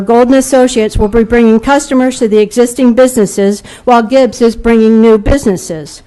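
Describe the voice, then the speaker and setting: A woman who owns a local shop, reading a prepared statement to a city board in a steady, formal way. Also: a little high. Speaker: local business owner speaking at public comment. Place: city commission meeting room.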